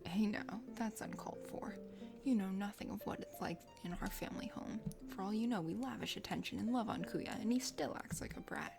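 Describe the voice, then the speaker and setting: A woman's voice: medium pitch, a little fast, gravelly, lightly acted. A woman voicing a cool, dismissive older sister, half-whispered and dry, in an ASMR game reading. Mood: defensive. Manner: condescending, dry. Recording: soft music behind.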